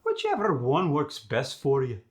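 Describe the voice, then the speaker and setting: A man's voice: on the high side, huge pitch swings, unhurried. A man playing a boastful Scottish dialect master, demonstrating sounds in an emphatic, swaggering manner for a comic lesson. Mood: instructive, confident.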